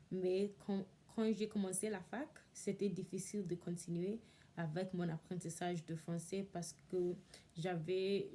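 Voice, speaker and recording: Young woman, English native speaker, halting learner's French, slow, mellow warm tone. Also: fairly low pitch. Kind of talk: casual talk to camera.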